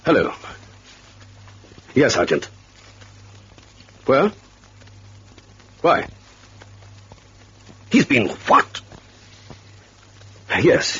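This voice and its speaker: An actor playing an indignant, accusing rival suitor in a stern, clipped, theatrical old radio style. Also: male voice, mid-range voice, sing-song, extremely slow, pauses often, fairly bright tone, full character voice. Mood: shaken. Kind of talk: old radio drama acting. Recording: muffled, hissy old radio recording.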